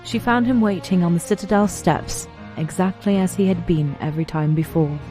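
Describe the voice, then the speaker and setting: A woman narrates a scripted story in a soft-edged, dark-toned voice pitched a little low. Music plays quietly behind her. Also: normal speed.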